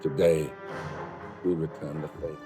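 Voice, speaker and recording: A weary, vengeful rebel leader in a cinematic game. His voice is extremely low, gruff and brooding. He speaks tersely over a loud, swelling score. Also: little pitch movement, measured pace, loud music behind the voice. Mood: grim resolve. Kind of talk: cinematic video game voice acting. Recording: loud film score underneath.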